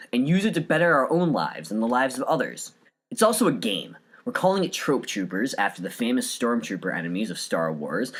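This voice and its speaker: A man hosting a podcast, reading scripted narration in an earnest, carefully enunciated way. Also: mid-range voice. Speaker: podcast host.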